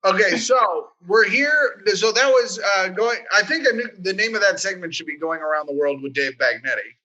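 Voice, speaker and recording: A man's voice: sits high, lively intonation, moderate pace, bright, forceful tone, lo-fi sound. A man co-hosting a podcast in casual, jokey chat, often restarting his sentences. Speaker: podcast co-host.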